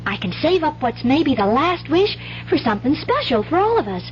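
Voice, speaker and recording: A woman's voice: high voice, sing-song, normal speed, faint music behind. A woman playing an earnest, trusting little girl in a 1970s radio drama, with an innocent manner. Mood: relieved. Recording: dull, noisy vintage radio broadcast.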